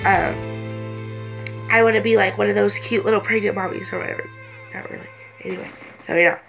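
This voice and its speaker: Teenage woman, stuffy-nosed, casual vlog chat, hesitant, easily sidetracked, medium pitch. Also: steady tone, brisk, gravelly, fairly bright tone, faint music behind. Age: late teens, almost 18. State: congested sinuses. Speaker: vlogger. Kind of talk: casual rambling chat to camera. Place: small bedroom, indoors.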